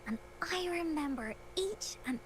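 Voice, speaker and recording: High-pitched earnest little girl storyteller. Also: animated, measured pace, a little hiss or hum, low-quality recording. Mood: proud, playful. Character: cheerful young girl storyteller, earnest and adventurous. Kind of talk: video game character acting. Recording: game dialogue over background music.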